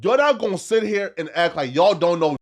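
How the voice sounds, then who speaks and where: A man's voice: high voice, expressive, fairly quick. A man in a casual podcast debate, speaking emphatically and argumentatively in slang. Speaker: podcast panelist.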